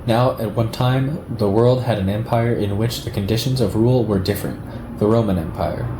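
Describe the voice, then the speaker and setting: A man reading a political essay aloud in a measured, deliberate way. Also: on the low side. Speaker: reader. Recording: roomy echo, clear background noise.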